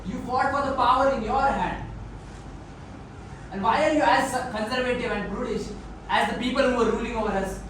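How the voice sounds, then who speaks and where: A man's voice: extremely high pitch, moderate pace, pauses often, fairly bright tone. A man with an Indian accent acting a defiant, idealistic adult son and dancer in a stage play, theatrical and argumentative. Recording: echoey stage recording, distant mic, faint noise.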